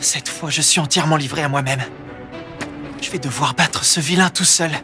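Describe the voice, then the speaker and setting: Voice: medium pitch, normal speed, music in the background, natural voice. A performer playing a determined, protective teenage boy hero in a French anime dub, speaking earnestly and tensely. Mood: anxious resolve. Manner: earnest, tense inner monologue. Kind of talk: French-dubbed anime acting.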